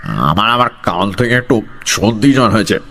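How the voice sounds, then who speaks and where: A man's voice: lowish voice, lively intonation, moderate pace, fairly bright tone, slight background noise. A man playing a creepy, sly schoolmaster in an audio horror story, falsely friendly and full of coaxing, probing questions.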